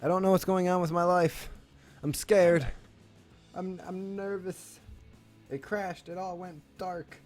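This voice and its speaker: A man's voice: high voice, level tone, a little slow, pauses often, faint music behind. A man hosting a casual gaming live stream, hyped and rambling, often stammering and restarting his words.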